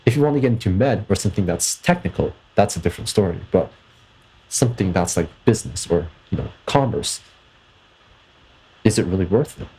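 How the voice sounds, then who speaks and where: A man's voice: sits low, lively intonation, a little fast, warm tone, husky, low-quality recording. A young man from Canada in a personal vlog, reflecting thoughtfully and hesitantly, often restarting his sentences. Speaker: vlogger.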